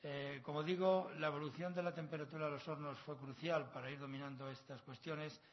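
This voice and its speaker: A man's voice: fairly high pitch, fairly even intonation, fairly quick. A middle-aged man from La Mancha, Spain, with a Castilian accent, giving an academic conference lecture as a professor in an erudite, discursive manner. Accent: Castilian Spanish. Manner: erudite, discursive, with proverbs and learned asides. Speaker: university professor, conference speaker. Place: long conference hall. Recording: slightly dull, lo-fi sound.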